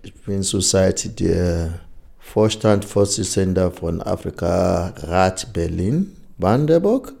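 A man's voice: deep voice, lively intonation, takes it slowly, vocal fry, mellow. A man from Nigeria, telling of his experiences in an interview, hesitant and searching for words. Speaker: interviewee, association chairman.

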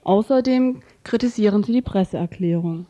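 A woman's voice: mid-range voice, moderate pace, dark tone. A woman presenting a scripted radio report and introducing quotations.

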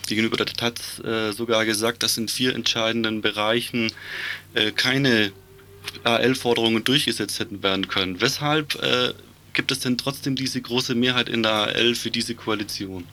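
A man interviewing on the radio about politics, framing his questions in a measured, formal way. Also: medium pitch, steady tone, pushed and bright, a little hiss or hum.

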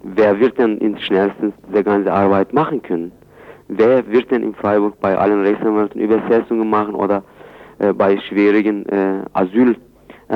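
A man answering in a radio interview, speaking haltingly in phrasing. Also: lowish voice. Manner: halting, non-native phrasing. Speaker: interviewee, chairman of a Kurdish community centre. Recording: dull, lo-fi radio recording.

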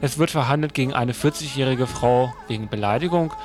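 A male radio presenter reads out listings and previews for listeners. He addresses them informally. Music plays clearly behind his voice throughout. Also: mid-range voice, brisk.

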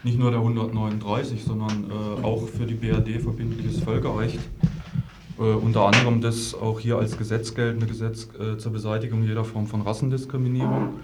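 Man arguing politics in formal, halting speech. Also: on the low side, little pitch movement, a little mellow, a little hiss or hum. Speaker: political activist as discussion guest. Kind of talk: political debate, argumentative talk.

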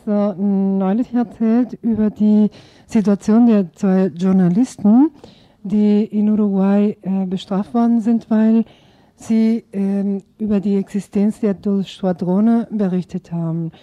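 A woman's voice: medium pitch, fairly even intonation, unhurried, dry recording. A woman asking questions conversationally as a radio interviewer, searching for words as she goes. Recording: tinny, narrow phone-like sound.

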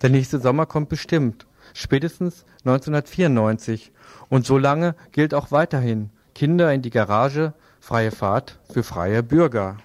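A man's voice: mid-range voice, unhurried, no echo. A man presenting a scripted radio news commentary in a sardonic manner, putting rhetorical questions to listeners.

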